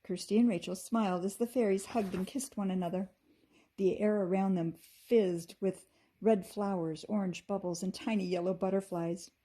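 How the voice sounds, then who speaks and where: Mellow, husky woman reading a storybook. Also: mid-range voice, steady tone, moderate pace. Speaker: teacher reading a children's book aloud. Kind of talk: expressive storybook narration for children.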